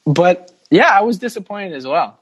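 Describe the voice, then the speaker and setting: A man's voice: high-pitched, expressive, moderate pace, background noise. A man hosting a casual sports podcast, giving opinions in a mock-dramatic, self-deprecating way. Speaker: podcast host.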